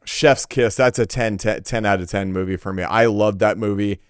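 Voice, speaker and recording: A man's voice: on the low side, fairly even intonation, moderate pace, clean tone, no room sound, studio quality. A man co-hosting a casual gaming podcast, speaking informally and emphatically with plenty of slang. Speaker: podcast co-host.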